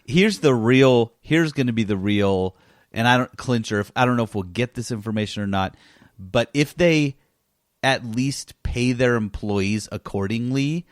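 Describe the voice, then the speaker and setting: A man with an average-pitched voice talks as a podcast co-host in casual, informal chat. He thinks aloud and leans on his points with emphasis. The sound is dry, with very little room to it. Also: normal speed, dry recording. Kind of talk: casual gaming news chat.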